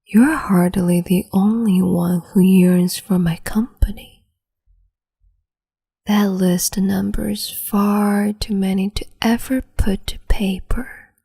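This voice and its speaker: A woman playing a cruel, capricious empress in an ASMR roleplay, whispering in a slow, teasing, domineering way. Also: medium pitch, fairly even intonation, warm tone, exaggerated voice. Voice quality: breathy whisper.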